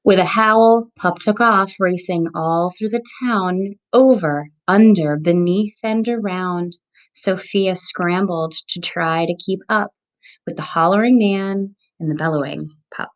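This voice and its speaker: A woman's voice: fairly low pitch, unhurried. Woman reading a storybook, dull recording. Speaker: mother reading a picture book aloud with a child. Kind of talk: children's storybook read-aloud.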